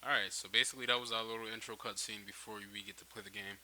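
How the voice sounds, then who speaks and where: A man's voice: lowish voice, level tone, very fast, sharp tone. A man casually narrating a screen-recorded tutorial, thinking aloud in an informal way on a hissy home microphone. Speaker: game-making tutorial host.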